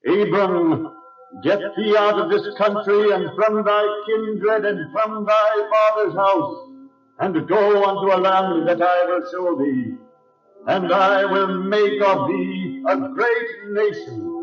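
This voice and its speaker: A man voicing God in a religious audio drama, solemn and commanding, with measured archaic scriptural speech. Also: high-pitched. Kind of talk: religious radio drama acting. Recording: distant, dull lo-fi, music behind.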